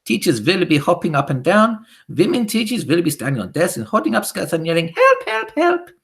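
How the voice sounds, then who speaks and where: A woman voicing a gloating, disgusting old Grand High Witch in a storybook, chanting theatrically in broken grammar. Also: sing-song, brisk, gravelly. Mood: gleeful ranting. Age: older. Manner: theatrical, chanting, ungrammatical speech. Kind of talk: theatrical storybook character reading.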